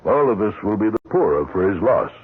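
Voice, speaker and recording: An actor playing a sober, kindly family doctor, measured and matter-of-fact, in old-time radio drama style. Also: male voice, lowish voice, big pitch swings, slight background noise. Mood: regretful. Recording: muffled, tinny old radio broadcast.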